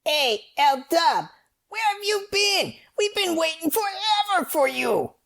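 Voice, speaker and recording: A woman's voice: very high voice, sing-song, a little slow, creaky, a bit bright, full character voice. A woman playing an impatient, skeptical friend in a comedy skit, prodding with incredulous questions. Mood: impatient, curious.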